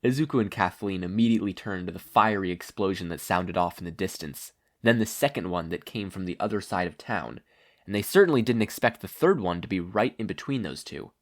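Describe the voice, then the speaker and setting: An expressive reader narrates a piece of fan fiction. The pitch sits in the middle and moves around a great deal. The delivery is that of a story told aloud with acted dialogue. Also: fairly quick.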